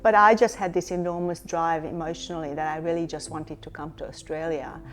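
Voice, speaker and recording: A woman's voice: low voice, steady tone, fairly quick. A middle-aged woman from Hungary, a company chief executive answering interview questions in a reflective way, with many fillers and restarts. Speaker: company CEO, guest.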